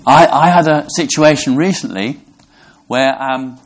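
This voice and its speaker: Earnest, halting English preacher. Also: male voice, mid-range voice. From Brixham, England. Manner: earnest, halting, restarts words. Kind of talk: sermon to a congregation.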